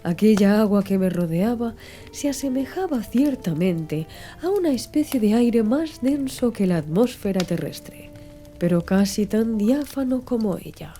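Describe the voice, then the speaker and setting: A woman reading a literary audiobook in a measured, expressive storytelling manner. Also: mid-range voice. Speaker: audiobook narrator. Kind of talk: scripted literary audiobook narration. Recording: music under the voice. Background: music.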